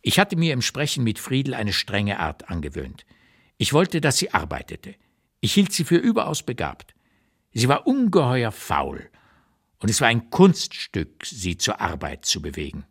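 An actor playing a blunt 85-year-old writer looking back on his life, reading memoir notes in a measured, deliberate, emphatic way for a literary radio play. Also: medium pitch, wildly swooping pitch, creaky, husky, exaggerated voice. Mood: reflective, candid.

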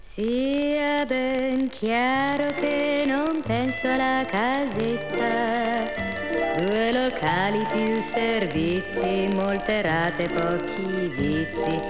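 A woman's voice: a little high, steady tone, drawn-out words. A woman performing a theatrical chanson, half sung and half spoken, with musical accompaniment. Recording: echoey recording with loud music.

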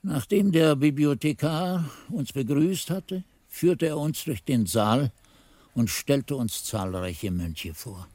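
An actor playing a monk who narrates as a devoted pupil in a radio play, in an earnest, literary, expressive manner. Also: male voice, medium pitch, takes it slowly, no room sound. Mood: awed, uneasy. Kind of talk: scripted radio drama narration.

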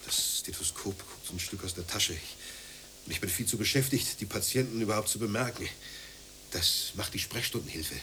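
A grumbling, prudish family man who craves respect in an older radio play. His voice sits fairly low and swings between bitter brooding and indignant ranting. There is slight noise on the recording. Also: moderate pace, bright, forceful tone. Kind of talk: German radio play acting.

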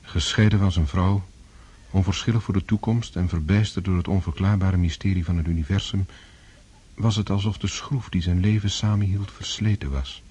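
A man narrating a radio drama as a sober literary storyteller, measured and grave. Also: deep voice, fairly even intonation, a little mellow, slightly rough.

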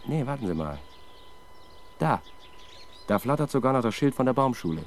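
A man's voice: mid-range voice, very animated, moderate pace, slight background noise, close to an everyday voice. An actor playing an observant, matter-of-fact male police sidekick, musing aloud in a 1970s German radio crime drama. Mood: curious, musing.

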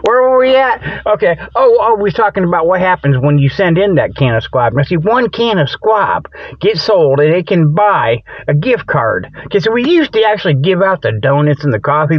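A man's voice: on the high side, no echo. A man hosting a comedic radio show in a rambling monologue, with folksy colloquial grammar and frequent little tag phrases. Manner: repeats "okay" and "you know" as tags. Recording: dull lo-fi radio-like sound.

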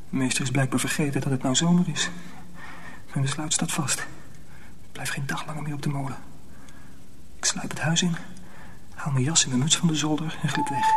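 Young mill apprentice, fairly high voice, earnest, indignant, often breathy; radio play with noise. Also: male voice. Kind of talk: radio drama dialogue and hushed first-person narration. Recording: noisy old radio play recording.

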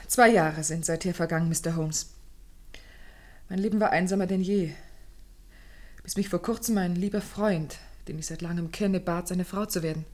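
A woman's voice: lowish voice, fairly even intonation, moderate pace, long pauses, a little mellow, lightly acted. Woman playing an anxious well-bred young lady. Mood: composed, formal. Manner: earnest, formal, carefully articulated storytelling. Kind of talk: German radio play acting.

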